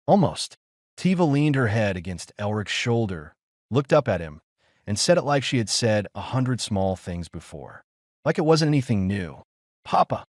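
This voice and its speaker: A man narrating a scripted science fiction story in measured, short phrases with dramatic pauses. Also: lowish voice, big pitch swings, a little mellow, dry recording, studio quality. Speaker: audiobook narrator.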